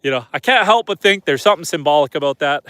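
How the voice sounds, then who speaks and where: Stuffed-up Canadian man, slightly high voice. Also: fast talker, clean tone, dry recording. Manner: relaxed, chatty, soft-spoken to the animals. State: stuffed-up nose from a head cold. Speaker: homesteader streaming live. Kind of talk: casual live-stream chat reading comments. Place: outdoors at night on a farm.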